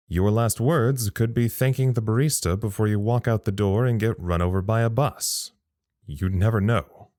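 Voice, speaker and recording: A man playing a thoughtful, uneasy log-keeper at a secret research site in an audio drama, measured and confiding. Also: low voice, a little mellow, no room sound, clean studio sound. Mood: somber, reflective. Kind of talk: audio drama monologue as a recorded incident log.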